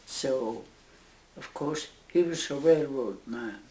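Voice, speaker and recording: A woman over a hundred years old with a Northern Irish accent, a nun slowly recalling her life in an oral history interview, halting and with soft laughs. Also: rumbling low, reverberant, slight background noise. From Northern Ireland. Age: over 100.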